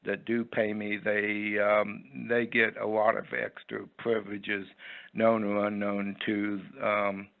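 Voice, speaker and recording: Gravelly man on a tinny phone line. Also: on the low side, monotone. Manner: halting, rambling, folksy. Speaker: interview guest, website owner. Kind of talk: unscripted interview answers.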